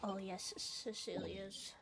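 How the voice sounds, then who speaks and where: A woman's voice: medium pitch, little pitch movement, takes it slowly, creaky, noisy background. A woman streaming a game, talking casually and apologetically to her chat while congested and sniffly from a cold. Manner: chatty, apologetic, easygoing.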